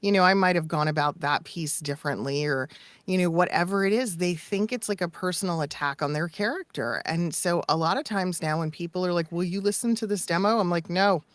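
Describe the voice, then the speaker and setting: A woman's voice: on the low side, fairly quick, no room sound. A middle-aged woman talking candidly and emphatically as a coach in casual podcast conversation, repeating phrases for effect. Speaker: voice acting coach and demo producer.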